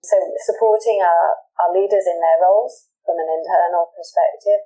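A woman from the UK with a British accent, an executive introducing herself in an interview in a measured, earnest way. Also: fairly low pitch, steady tone, dark tone, rough recording. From United Kingdom. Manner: measured, earnest, with frequent restarts. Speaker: pharmaceutical executive, interview guest. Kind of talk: interview, professional self-introduction.

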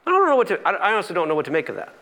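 Male pastor for storytelling sermon: higher-pitched, very lively intonation, conversational, repeats lines for emphasis. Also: racing. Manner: animated, acts out dialogue, repeats phrases for emphasis.

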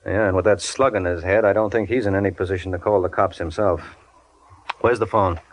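An actor playing a tough, wisecracking American private detective with a dry, sardonic delivery in an old-time radio drama. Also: male voice, deep voice, fairly even intonation, a little fast, rough recording. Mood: cool, wry. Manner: dry, sardonic, clipped hard-boiled talk. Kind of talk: 1940s-50s radio detective drama acting.

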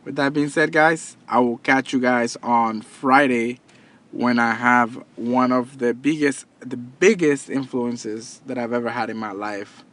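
A man's voice: mid-range voice, a little slow, fairly bright tone. A man of thirty talking earnestly and casually to his listeners, repeating himself and restarting often as he goes. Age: 30s. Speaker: podcast host and entrepreneur. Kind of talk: casual motivational monologue.

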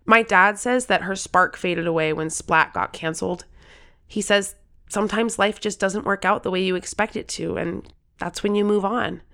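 An earnest thirteen-year-old in an audio drama. The voice sits at a middle pitch, youthful and eager, telling things in a heartfelt way. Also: female voice, steady tone, moderate pace, creaky, fairly bright tone. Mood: wistful, earnest. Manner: earnest, wistful storytelling.

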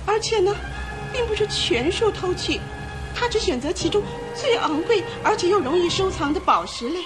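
A woman's voice: very high-pitched, animated, normal speed, music in the background. An actress playing a distraught wealthy lady in a Chinese radio drama, breathless, tearful and melodramatic. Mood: tearful, indignant. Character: distraught wealthy society lady, theft victim, wailing.

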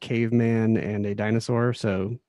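A man co-hosting a casual podcast chat, measured with a dry sense of humor. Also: lowish voice, flat delivery, warm tone, dry recording, dull audio. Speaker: podcast co-host.

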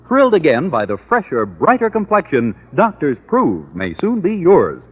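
Husky, swooping male radio announcer. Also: huge pitch swings, moderate pace. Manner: emphatic sales pitch. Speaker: commercial announcer. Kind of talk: 1940s radio soap advertisement. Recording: tinny old radio recording.

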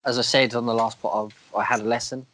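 A man with a croaky voice from a cold, co-hosting a podcast in casual, self-deprecating chat. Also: medium pitch, flat delivery, fast talker, a bit bright. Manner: chatty, self-deprecating, a bit crude. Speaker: podcast co-host.

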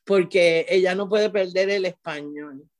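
A woman's voice: fairly low pitch, measured pace, darkish tone. Puerto Rican woman, chatty interview guest. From Puerto Rico. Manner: restarts often, frequent "¿verdad?" tag. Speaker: guest, teacher and author. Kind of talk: conversational interview.

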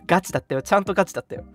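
A man's voice: high voice, fairly bright tone. Male radio host for casual banter, very varied intonation, chatty delivery, light music bed. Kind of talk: casual co-hosted radio chat.

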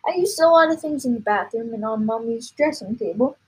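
A woman's voice: on the high side, animated, fairly quick, a little mellow, slightly rough, rough recording. A woman voicing a hesitant little boy who questions and pleads, in a children's story read aloud. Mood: explaining. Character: little boy, hesitant, doubtful, trying to interrupt.

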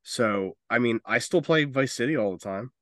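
A man with a mid-pitched, very animated voice co-hosts a pop-culture podcast. He talks casually and enthusiastically, joking and swearing freely. The recording is dry and close. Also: normal speed, no room sound. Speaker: podcast co-host.

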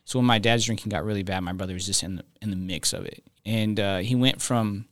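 A pastor from Reno chatting casually, laid-back, laughing often and calling people dude. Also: male voice, lowish voice, steady tone, quick pace, a bit bright, dry recording, clean studio sound. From Reno, Nevada. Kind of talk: casual chat.

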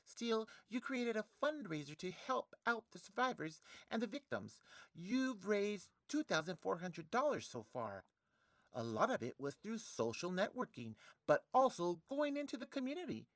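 A woman's voice: lowish voice, very animated, moderate pace, no room sound. A woman playing an admiring, encouraging television interviewer in an audio play.

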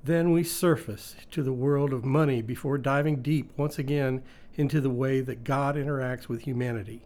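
A man's voice: mid-range voice, fairly even intonation, moderate pace, a little mellow. A man reading a scripted devotional in a reflective way, often posing rhetorical questions. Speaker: devotional reader.

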